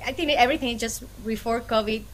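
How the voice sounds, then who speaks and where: A woman's voice: fairly high pitch, fast talker, slight background noise. A woman from Puerto Rico with a Puerto Rican accent, chatting casually as a guest in an interview. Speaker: podcast guest, freight brokerage owner.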